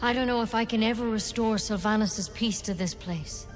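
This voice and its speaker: Actress as an earnest grove defender, fantasy game, sincere, mid-pitched, long reverb, faint music. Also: female voice, moderate pace, close to an everyday voice. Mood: apologetic, hopeful. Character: earnest, grateful female defender of a sacred grove. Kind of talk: video game cutscene acting. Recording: long reverberant hall sound.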